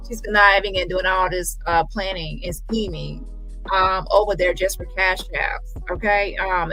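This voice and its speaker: A woman's voice: fairly low pitch, sharp tone, poor audio. A woman hosting a casual livestream commentary, talking emphatically in a rambling way and often correcting herself. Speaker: livestream host.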